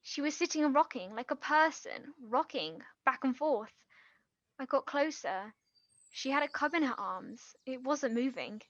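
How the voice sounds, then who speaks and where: High-voiced, tender young woman, played by an actress. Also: animated, fairly bright tone, dry recording, highs cut off. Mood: wistful, gentle. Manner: halting, trailing off mid-sentence. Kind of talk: stage play acting, quiet two-hander.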